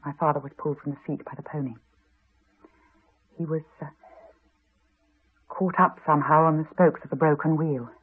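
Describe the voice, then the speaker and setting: An actress playing a grave, haunted woman in a 1980s radio drama, confiding in a measured, quietly intense way. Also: low-pitched, steady tone, slightly rough. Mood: sombre, haunted. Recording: muffled phone-like archive radio recording.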